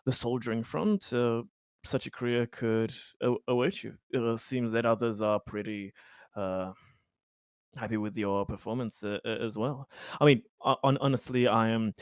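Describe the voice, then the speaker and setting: Man playing an affable, halting corporate director, close and dry. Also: mid-range voice, fairly even intonation, clear, resonant tone, no room sound, close to an everyday voice. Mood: courteous, chatty. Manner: halting, polite, chatty. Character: affable, courteous male corporate director of a colony.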